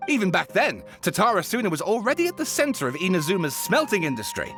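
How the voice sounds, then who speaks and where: A man playing an excitable, theatrical writer in a video game, telling spooky tales in a wordy, dramatic way over music. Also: sits high, fast talker, full character voice. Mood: eager, informative. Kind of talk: video game character dialogue.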